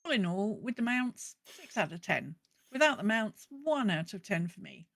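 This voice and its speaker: A woman's voice: medium pitch, big pitch swings, normal speed, fairly bright tone. Woman podcast co-host in casual chat. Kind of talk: casual chat and reading out listener messages.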